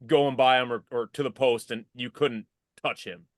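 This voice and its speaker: A man in his early forties, a former football player, chatting casually with old teammates in an animated, emphatic way. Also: medium pitch, normal speed, no echo, clean studio sound. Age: 40s. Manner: animated, emphatic, swears when surprised. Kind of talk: casual reminiscing chat.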